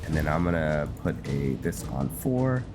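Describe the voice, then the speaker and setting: Male gameplay commentator, low register, slow and halting delivery, casual thinking-aloud style, music clearly behind. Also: very animated. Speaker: game streamer narrating his roleplay playthrough. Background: game music.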